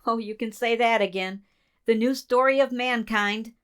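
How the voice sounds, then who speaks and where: A woman's voice: a little high, steady tone, normal speed, fairly bright tone, clear, resonant tone, natural voice. A woman in a community radio play, playing an earnest assistant code breaker in a conversational way. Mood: casual. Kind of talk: community theater radio drama dialogue.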